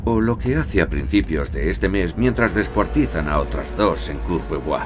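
An actor with a Castilian Spanish accent playing a stern, protective police commander in an audio crime drama. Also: male voice, deep voice, animated, normal speed, over music. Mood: stern, pressing. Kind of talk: dramatic audio fiction acting.

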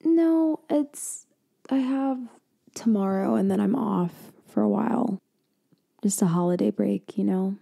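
A woman doing a girlfriend roleplay for ASMR, speaking softly and intimately, slipping in and out of a whisper and often trailing off mid-thought. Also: a little slow, gravelly. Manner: soft, intimate, drifting between low voice and whisper; hesitant, trailing off and restarting.

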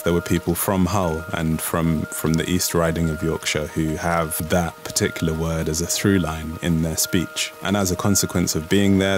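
Deep-voiced thoughtful male poet. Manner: thoughtful, with restarts and fillers. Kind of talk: reflective interview answers.

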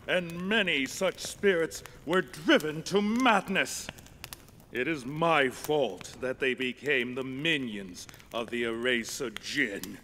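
A man's voice: mid-range voice, slow, sharp tone, slight background noise. A man playing a pompous, theatrical old skeleton king in a video game, speaking in formal, courtly phrases. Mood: grave. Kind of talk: video game cutscene acting.

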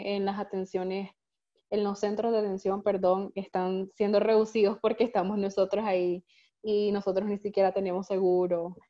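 A woman from Nicaragua with a Nicaraguan accent, moderating an online panel in a conversational way over dull, lo-fi call audio. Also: mid-range voice. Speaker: webinar moderator.

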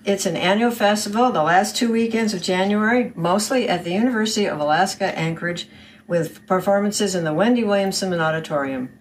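A woman in her sixties presents a scripted promotional message. Her pitch is average, and the camera recording carries a little noise and room ambience. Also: a little fast, low-quality recording. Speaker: festival past president. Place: indoor room by a large window.

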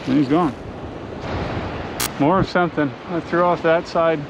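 Gravelly man narrating a fishing trip. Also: a little high, a little slow. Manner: relaxed, talking to himself while fishing. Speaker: fishing vlogger. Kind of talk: casual first-person commentary. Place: outdoors on an ocean fishing pier. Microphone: action camera worn on the body. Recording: muffled action-camera audio with wind and surf.

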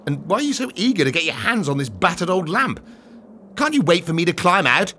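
A man's voice: high voice, very animated, a little fast, sharp tone, slight background noise, pitched above the performer's own voice, exaggerated voice. A man voicing an earnest, slightly cheeky poor young boy in a children's story. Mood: questioning.

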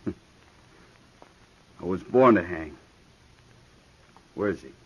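An unhinged, menacing gunslinger in a radio western. His low, husky voice is blunt and uneducated. The vintage recording sounds dull. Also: animated, very slow, darkish tone, exaggerated voice. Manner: rough, ungrammatical, blunt. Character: crazy, menacing hired gunman, coldly single-minded. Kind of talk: 1950s radio western acting.

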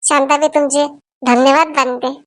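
Lady doing fussy cartoon village auntie, really high voice, varhadi marathi accent, exclaims a lot, dry sound. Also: steady tone, normal speed, fairly bright tone, no room sound. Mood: friendly address. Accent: Varhadi Marathi from Vidarbha. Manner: exclaiming, repeats words when fussing. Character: Shantabai, excitable, fussing rural Marathi auntie. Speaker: cartoon channel's main character and presenter. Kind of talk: homemade comedy cartoon dialogue.

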